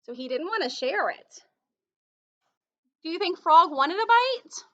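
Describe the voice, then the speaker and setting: A woman's voice: very high-pitched, sing-song, a little slow, sharp tone, dull audio. A woman reading a children's story aloud in a teacherly way, stopping to put questions to her young listeners. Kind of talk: expressive children's read-aloud with asides and questions.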